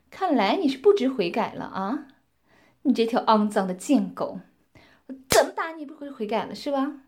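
A woman playing a domineering, scornful mistress who gives commands and taunts, sometimes dropping to breathy whispers. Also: sits high, a little mellow, full character voice. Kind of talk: roleplay audio drama.

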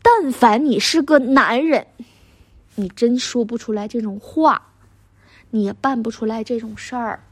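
Husky, high-pitched furious Northeastern Chinese wife played by a woman. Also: unhurried, dry recording. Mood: outraged, incredulous. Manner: scolding, sarcastic, coarse swearing. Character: furious, foul-mouthed middle-aged wife and mother berating her husband. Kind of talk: dramatic one-sided argument monologue.

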